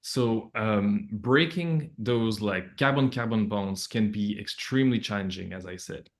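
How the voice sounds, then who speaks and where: A man giving explanatory interview answers as a scientist, in halting, hesitant phrasing. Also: lowish voice. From Belgium. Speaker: project scientist, interview guest.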